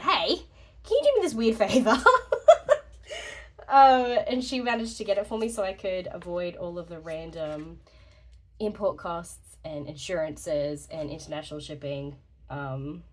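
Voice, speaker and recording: Chatty woman, slightly congested. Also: mid-range voice. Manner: chatty and rambling, laughs often, self-deprecating. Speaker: journaling YouTuber. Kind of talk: rambling casual vlog update.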